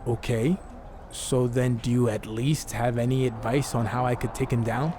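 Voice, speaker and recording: An actor playing an earnest, determined wrestling champion in a video game cutscene. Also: male voice, medium pitch, fairly even intonation, normal speed, background noise, natural voice. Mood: curious. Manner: earnest, questioning.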